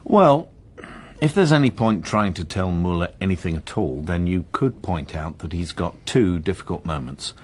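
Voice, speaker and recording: An actor playing a blunt, irreverent police detective with a dry, sardonic manner in a radio drama. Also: male voice, low voice, expressive, moderate pace, a little hiss or hum. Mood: wry. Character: blunt, irreverent male police colleague, gun enthusiast.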